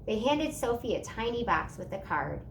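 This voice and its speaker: Female storybook reader, fairly high voice, expressive telling, slight rumbly background noise. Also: normal speed. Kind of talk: expressive children's story narration.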